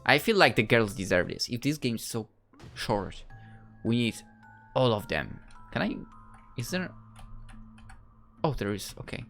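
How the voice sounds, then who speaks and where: A man with a lively, moving pitch rambles playfully through a game playthrough. His commentary is casual, and music plays quietly behind him. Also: a little slow, creaky, long pauses. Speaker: gaming video creator playing his visual novel. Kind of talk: casual playthrough commentary, reading dialogue aloud.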